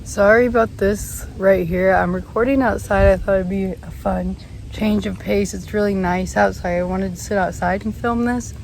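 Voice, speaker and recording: A young woman chatting casually to a camera set on a chair outdoors, rambling with lots of ums and apologies, her throat still a little rough after a cold. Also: medium pitch, fairly even intonation, normal speed, a little mellow. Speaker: vlogger. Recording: outdoor noise, audible vehicles. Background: outdoor ambience with passing vehicles.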